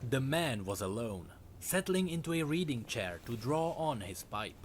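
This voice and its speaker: A man's voice: medium pitch, big pitch swings, moderate pace, a little hiss or hum. A man narrating a dramatic scripted story as a storyteller.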